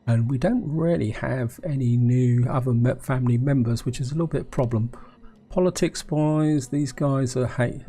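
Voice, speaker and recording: A hoarse man with a cold, musing aloud as he plays a strategy game, often asking himself questions and speaking to his viewers. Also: mid-range voice, normal speed, dark tone. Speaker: gameplay commentator.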